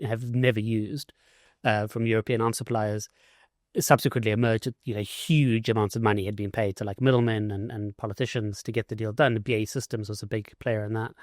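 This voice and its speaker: A man's voice: fairly low pitch, no echo, studio quality. A man from South Africa, an author and investigative journalist, answering interview questions in a casual way, with many "like" and "you know" fillers and restarts.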